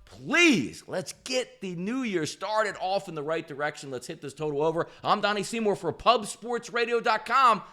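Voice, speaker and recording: A man's voice: high voice, lively intonation, normal speed, hardly any pauses, sharp tone. A man hosting a sports betting picks show, delivering commentary in an upbeat, emphatic, salesman-like way.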